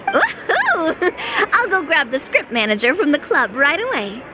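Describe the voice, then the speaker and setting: A woman playing a playful, spirited young woman in a fantasy video game, lively, teasing and expressive. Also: high voice, unhurried, background noise, exaggerated voice. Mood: bubbly, playful. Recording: loud music behind the voice.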